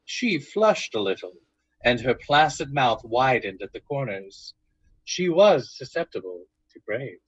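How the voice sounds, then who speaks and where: A man's voice: medium pitch, big pitch swings, measured pace, slightly rough, dry recording. A man narrating a literary radio drama from a script, in a muffled, dry recording.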